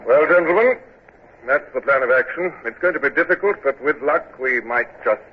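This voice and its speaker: An actor playing a stern, commanding naval captain who also narrates, in a 1940s radio drama. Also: male voice, medium pitch, animated, normal speed, close to an everyday voice. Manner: curt, authoritative orders. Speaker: narrator and lead. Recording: tinny old radio broadcast with hiss.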